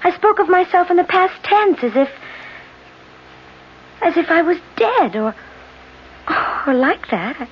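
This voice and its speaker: An actress playing a bewildered, frightened young secretary, hesitant and emotive, in 1970s radio drama style. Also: female voice, very high-pitched, very animated, measured pace, pauses often, exaggerated voice. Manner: breathy exclamations, hesitant, emotive. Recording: muffled, noisy old radio broadcast recording.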